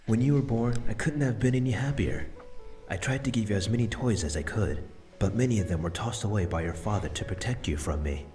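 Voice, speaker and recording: A man voicing a deep-voiced loving grandfather spirit. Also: a little fast, lightly acted. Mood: tender. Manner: soft and tender, explaining patiently. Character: gentle elderly grandfather's spirit, loving and regretful. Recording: music bed under voice.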